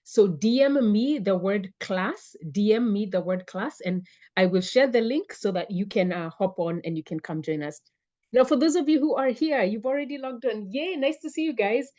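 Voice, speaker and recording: A woman hosts a live online class, upbeat and chatty as she welcomes viewers by name. Her voice sits at a middling pitch and comes through a computer mic on a video call. The audio is a little dull. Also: expressive, normal speed, warm tone. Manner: upbeat, greets viewers by name, repeats phrases. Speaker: webinar host and fitness coach.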